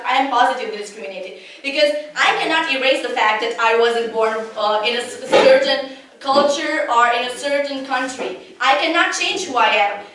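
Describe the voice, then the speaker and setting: A young woman with a high voice delivers a competitive debate speech in English, which is not her first language. She is earnest and often restarts her sentences. The mic sits far from her, so her voice rings around a large, echoey hall. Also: fairly bright tone.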